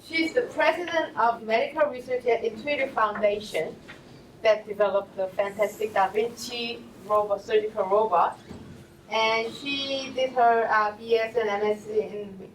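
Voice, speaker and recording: A woman introducing a guest speaker as seminar host. She pauses often and uses many fillers. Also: on the high side, a little hiss or hum. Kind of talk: formal introduction. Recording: roomy sound from far off.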